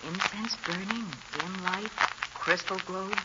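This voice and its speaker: A woman's voice: mid-range voice, steady tone, slow pace, lightly acted. A woman acting as a witty society lady in a forties radio mystery. She is poised and wry. The old recording is muffled and heavily noisy. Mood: wry, observant.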